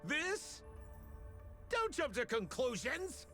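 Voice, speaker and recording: An actor playing an excitable, slippery young male rogue in a video game, exclamatory and theatrical in his delivery. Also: very high-pitched, takes it slowly, heavily acted. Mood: indignant, sly. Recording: game music behind the voice. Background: game music.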